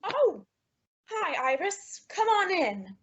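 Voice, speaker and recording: A woman playing a flustered, secretive and affectionate housewife in an old-time radio sitcom, with animated, exclamatory delivery. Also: very high voice, slow, lo-fi sound, heavily acted. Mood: coy, cheerful. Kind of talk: 1940s radio sitcom acting.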